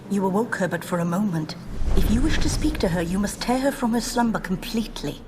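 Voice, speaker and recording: An actress playing a solemn, cryptic female spirit servant in a video game, formal and measured. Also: mid-range voice, expressive, noisy background, close to an everyday voice. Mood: grave, instructing.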